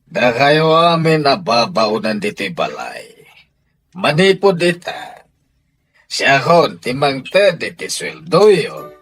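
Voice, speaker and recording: A man's voice: medium pitch, big pitch swings, slow, fairly bright tone, breathy edge, heavily acted. A man with an Ilocano accent playing a firm, sanctimonious husband and head of household in a radio drama. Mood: insistent.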